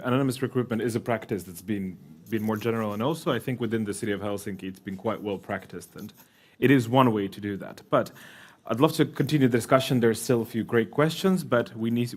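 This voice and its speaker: A man's voice: medium pitch, fairly quick. A man moderating a panel seminar, giving short affirmations and wrapping up the day's takeaways. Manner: soft-spoken, frequent backchannel.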